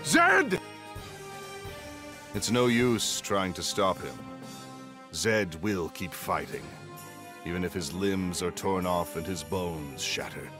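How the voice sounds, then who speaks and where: A man's voice: low-pitched, very animated, slow, long pauses, music in the background, exaggerated voice. A man playing a pompous, theatrical old bearded king in an anime game. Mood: solemn. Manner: pompous, hammy.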